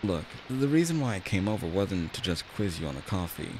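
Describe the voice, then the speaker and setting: A man acting out an ASMR roleplay as a friendly, chatty barista, talking in a gently reassuring way. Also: sits low, a little fast. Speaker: voice actor in an audio roleplay. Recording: steady rain and hiss behind voice. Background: rain.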